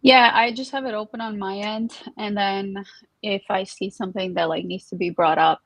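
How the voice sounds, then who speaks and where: A woman's voice: medium pitch, fairly even intonation, normal speed, a bit bright, dry recording, rough recording. A woman co-hosting a casual chat, friendly and talkative, often saying "like". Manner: friendly, chatty with filler likes. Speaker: co-host, podcaster.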